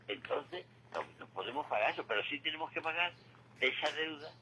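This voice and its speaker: A man's voice: on the high side, lively intonation, fairly quick, sharp tone, a little hiss or hum, poor audio. A man with an Andalusian accent giving conversational economic commentary on radio, emphatic and didactic, repeating key figures to drive them home. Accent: Andalusian Spanish. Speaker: radio commentator on current affairs. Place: local radio studio.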